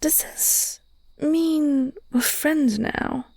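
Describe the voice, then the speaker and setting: A woman playing a flirty, teasing vampire nemesis who secretly cares, in an intimate audio roleplay, speaking softly and half-whispered with wry, elegant phrasing. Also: high-pitched, no echo, heavily acted. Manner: breathy, soft, slow, half-whispered delivery.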